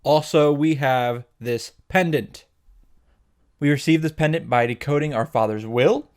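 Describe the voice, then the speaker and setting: A man's voice: a little high, measured pace, a little mellow, clean tone. A man giving a comedic, irreverent recap narration as host, sarcastic and sweary, in punchy short sentences. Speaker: host of a visual novel summary series.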